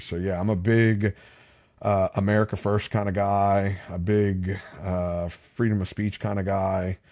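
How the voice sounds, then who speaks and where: A man's voice: lowish voice, warm tone. A middle-aged man, bilingual with Spanish as his first language, telling stories in a casual, chatty interview with many fillers and restarts. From Palm Beach County, Florida. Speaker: podcast guest, fishing captain.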